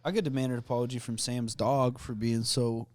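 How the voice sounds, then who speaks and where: A man's voice: mid-range voice, level tone, fairly quick, a little mellow, dry recording, clean studio sound. A man co-hosting a comedy podcast, joking and laughing in loose banter. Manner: jokey, laughing, argumentative. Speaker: podcast co-host.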